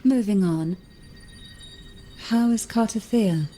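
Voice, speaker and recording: Slow, poised noblewoman voiced by an actress. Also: mid-range voice, warm tone, slight background noise, close to an everyday voice. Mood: composed, gracious. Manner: formal, measured, deliberate, coolly teasing. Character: poised, teasing noblewoman heading a powerful family. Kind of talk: anime-style video game voice acting.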